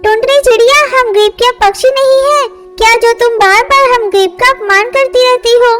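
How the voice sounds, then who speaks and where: A woman's voice: very high-pitched, moderate pace. A woman voicing a young girl bird in a Hindi children's cartoon. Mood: hurt. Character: young girl birds, one haughty rich, one humble poor. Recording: loud music bed under voice. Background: loud music.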